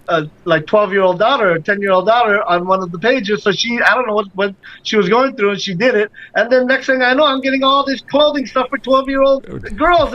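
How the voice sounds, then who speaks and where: High-voiced man laughing through radio banter. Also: no echo, dull audio. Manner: laughs often, easygoing banter. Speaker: radio show co-host. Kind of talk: casual talk radio chat.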